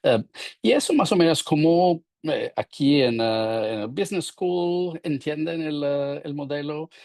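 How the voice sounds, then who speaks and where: An Italian man with an Italian accent speaks in a webinar, pausing often on fillers while he searches for his words. His voice carries a gravelly edge, and the recording sounds dry and close. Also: a little high, a little mellow, no echo. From Italy. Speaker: guest speaker, professor. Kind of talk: webinar presentation.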